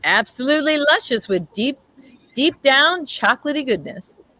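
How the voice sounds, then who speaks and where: A woman's voice: high-pitched, animated, moderate pace, bright, forceful tone, a little hiss or hum. A woman playing a brash guest in an old-time radio comedy, delivering lines with a sing-song push. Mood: chatty. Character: Bob, brash man at a party. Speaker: radio play performer.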